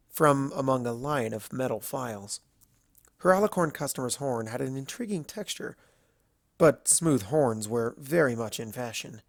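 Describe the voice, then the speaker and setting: A man's voice: medium pitch, creaky, dry recording, clean studio sound. A man reading scripted fiction aloud as the narrator of a story.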